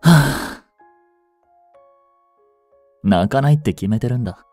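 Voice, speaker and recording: A man playing a grieving young policeman in an audio drama, speaking in a slow, bitter, intimate murmur. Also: medium pitch, animated, darkish tone, dry recording. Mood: anguished tenderness. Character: grieving young policeman mourning his criminal girlfriend, tender. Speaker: voice actor. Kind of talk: Japanese audio drama monologue. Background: music.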